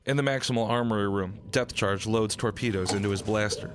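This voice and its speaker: A man's voice: lowish voice, fairly quick, background noise. A man narrating a radio drama from a script.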